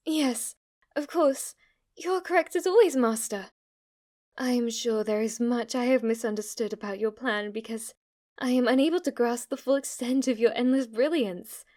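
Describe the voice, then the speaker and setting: A woman's voice: fairly high pitch, moderate pace, darkish tone, natural voice. A woman playing a devoted, submissive demon maid in an ASMR roleplay, with a formal, deferential and adoring manner. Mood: rapturous worship. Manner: formal, deferential, breathless adoration, sometimes whispering. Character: devoted, submissive, obsessively worshipful female demon maid servant.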